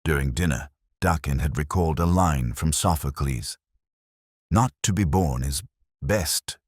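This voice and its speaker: Very deep, brooding male narrator. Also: a little mellow, no room sound, clean studio sound. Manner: literary, brooding, measured. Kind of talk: scripted first-person detective story narration.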